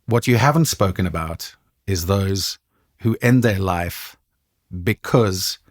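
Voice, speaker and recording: A man's voice: sits low, slow. A man hosting a conversational interview, putting questions to his guest. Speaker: podcast host.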